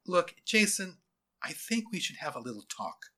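A man voicing a kind but firm female schoolteacher, measured and sincere, in an audiobook story. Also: high voice, sing-song, pushed and bright, pitched above the performer's own voice, heavily acted. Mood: kindly.

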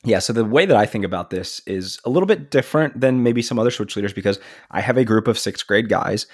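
Brief: a man, lower voice, stuffed-up nasal sound, upbeat podcast co-host, dry close sound. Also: lively intonation, fast talker, slightly rough, dry recording. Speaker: podcast co-host, student ministry leader. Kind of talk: casual podcast chat.

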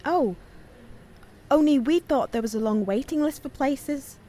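A woman's voice: high voice, a little slow, mellow. An actress playing a hopeful, blunt, determined young homeless woman with a British accent in an audio play. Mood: polite, uncertain. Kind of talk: audio play dialogue and narration.

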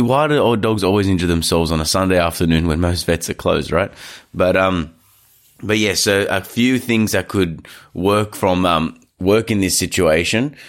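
A man with a nasal, stuffy voice from hay fever, talking casually about dog safety as a podcast host, rambling through a story with restarts. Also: sits low. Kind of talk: casual advice talk. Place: studio.

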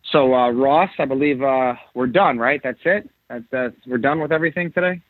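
A man with a Chicago accent hosting an interview, chatty and halting, with many restarts. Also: medium pitch, no room sound. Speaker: host. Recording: tinny dry phone line.

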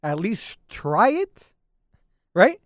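A man hosts a comedy podcast with casual, teasing banter. His voice sits high and moves around a lot in pitch. He coaxes his partner along, and the sound is dry. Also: very animated, drawn-out words, no echo. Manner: teasing, coaxing, repeatedly addresses co-host.

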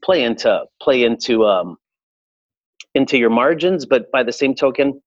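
A man in his forties from Chile, a restaurateur answering interview questions in a relaxed, reflective way with frequent fillers. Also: mid-range voice, normal speed, no room sound, muffled recording. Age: 40s. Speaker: restaurateur guest.

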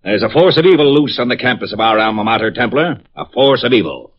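Husky, pompous middle-aged American alumnus, played by a man. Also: medium pitch, lively intonation, fast, natural voice. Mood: pompous, solemn. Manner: bombastic, self-important, solemn declarations. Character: pompous, hearty middle-aged ex-football alumnus and proud father. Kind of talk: 1950s radio drama acting. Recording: muffled old radio recording.

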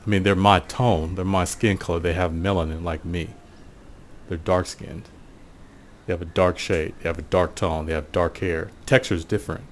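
Deep american guy talking solo, reflective and casual, takes his time, bit of noise in the background. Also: fairly even intonation, moderate pace. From Portland, USA. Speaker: podcast host.

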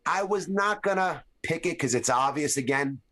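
A man's voice: on the high side, expressive, moderate pace, bright, forceful tone. A man co-hosting a podcast in casual chat, joking and teasing his friends in a playful way. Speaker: podcast co-host.

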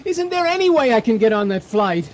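An actor in a 1980 radio drama playing a flustered, apologetic man who pleads and stammers as he rushes for a flight. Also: very high voice, big pitch swings, slight background noise. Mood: anxious, pleading. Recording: vintage radio drama recording.

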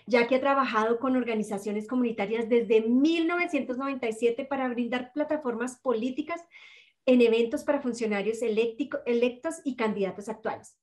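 A woman's voice: fairly high pitch, a little mellow. A woman hosting a podcast, greeting listeners and introducing her guest in an upbeat way. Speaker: podcast host.

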